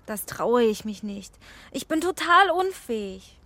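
Young fairy pupil, children's audio drama: fairly high pitch, blunt, unsure of herself, kind underneath. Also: female voice, sing-song, a little slow, bright, forceful tone, dry recording, exaggerated voice. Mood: dejected. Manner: self-deprecating, matter-of-fact. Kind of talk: children's radio play acting.

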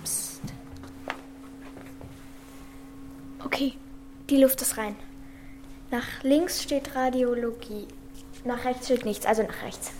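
Woman playing a bossy, high-voiced streetwise girl. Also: fairly even intonation, unhurried, background noise, close to an everyday voice. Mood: brisk, daring. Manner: brash, know-it-all. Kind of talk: children's radio play acting.

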